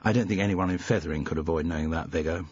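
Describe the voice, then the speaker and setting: A man's voice: sits low, fast talker, darkish tone, slightly rough, dry recording, lo-fi sound. A man voicing a friendly, wry woman who is an amateur sleuth.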